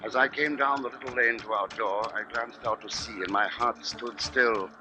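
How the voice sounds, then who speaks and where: An actor playing a grave, anxious family man, narrating solemnly in a measured 1950s radio drama style. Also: medium pitch, steady tone, background noise, natural voice. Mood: awed, grave. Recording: 1950s radio recording with music behind.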